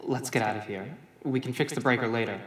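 Man acting deadpan, practical crewmate, echoey. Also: medium pitch, fairly quick, close to an everyday voice. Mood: puzzled, practical. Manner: understated, deadpan. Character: dry, practical male watchtower crewmate named Bertrand. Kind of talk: audio drama acting, naturalistic.

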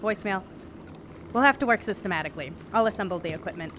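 An earnest, intellectual sound recordist acted by a woman in an audio drama. Her mid-pitched delivery is clipped, methodical and pedantic, with clear noise around her. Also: animated, quick pace, fairly bright tone, close to an everyday voice. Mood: businesslike. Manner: clipped, matter-of-fact, pedantic.

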